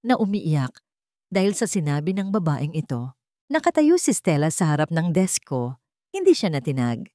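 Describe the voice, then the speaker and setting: A woman's voice: lowish voice, mellow, no echo, clean studio sound. A woman giving dramatic scripted narration of a romance story.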